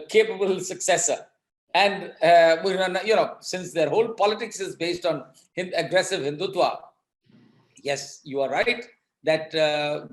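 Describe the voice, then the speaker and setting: Man, Indian accent, high-pitched voice, interview commentary, halting, emphatic, repetitive phrasing, strong room echo. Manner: halting, emphatic, often repeats words. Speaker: political commentator. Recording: echoing, roomy sound.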